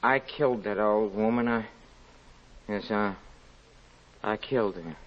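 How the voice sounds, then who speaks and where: An actor playing a proud, guilt-ridden, impoverished young murderer in an old-time radio drama, halting and overwrought. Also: male voice, lowish voice, natural voice. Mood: anguished, guilty. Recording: muffled old radio recording.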